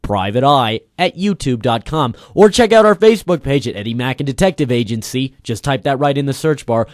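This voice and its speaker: A man's voice: mid-range voice, dry recording, studio quality. Earnest man signing off a radio show. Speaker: writer, director and lead actor, signing off.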